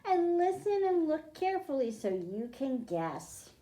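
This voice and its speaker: Higher-voiced woman leading children's storytime, roomy sound. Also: very animated, unhurried, mellow. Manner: simple explanations addressed to children, with questions to them. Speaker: children's librarian and storytime host. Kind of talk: children's storytime read-aloud and science lesson. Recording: roomy, echoing indoor sound.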